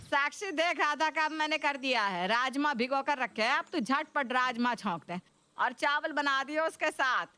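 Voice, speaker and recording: A woman's voice: high-pitched, animated, normal speed, bright, forceful tone, dry recording. A woman voicing a nagging, demanding older mother-in-law in a Hindi cartoon story, complaining and taunting. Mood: bossy.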